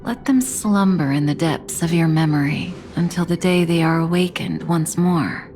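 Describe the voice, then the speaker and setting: A woman playing a composed, enigmatic adult woman in a video game, tender yet commanding, with measured, solemn delivery that is hushed at times. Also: low voice, faint music behind, natural voice. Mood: solemn, knowing.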